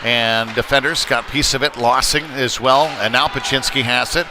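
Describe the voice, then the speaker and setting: A man's voice: mid-range voice, steady tone, moderate pace. A man calling live play-by-play of a youth hockey game in a folksy, conversational way, with analytical asides. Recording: noisy rink ambience behind voice.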